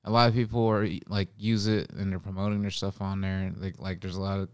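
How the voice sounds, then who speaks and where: Young male podcast guest, smooth, somewhat low, casual, slangy, rambling. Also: level tone, a little fast, a little mellow. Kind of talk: casual chat.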